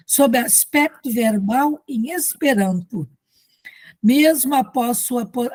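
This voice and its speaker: A Brazilian woman hosting a literature course, reading a formal introduction of a guest with small slips and warm asides. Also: on the high side, slightly rough, no echo. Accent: Brazilian Portuguese.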